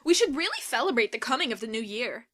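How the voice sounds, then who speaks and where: A woman voicing a bossy, excitable teenage girl who leads a school club, speaking in a commanding, enthusiastic way. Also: a little high, quick pace, soft background music. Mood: eager. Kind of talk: fan audio drama acting.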